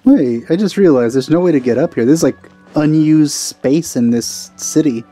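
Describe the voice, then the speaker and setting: A man's voice: fairly high pitch, normal speed, dark tone, soft background music. A man giving casual gameplay commentary, musing aloud and trailing off as he thinks. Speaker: gaming video maker.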